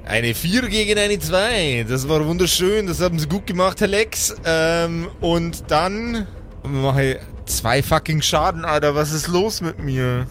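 A man's voice: fairly high pitch, unhurried, fairly bright tone, slight background noise. A man chatting casually and slangily as a player in an improvised tabletop game, often joking.

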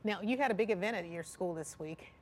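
A woman's voice: lowish voice, fast, darkish tone, slight background noise. A woman hosting a panel interview, prompting and directing her guests with questions. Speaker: interview host.

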